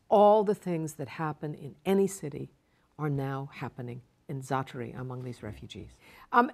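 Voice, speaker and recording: Low-pitched woman, measured explainer in a tv interview, leans on repeats for emphasis. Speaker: guest expert on refugees. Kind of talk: broadcast interview answers.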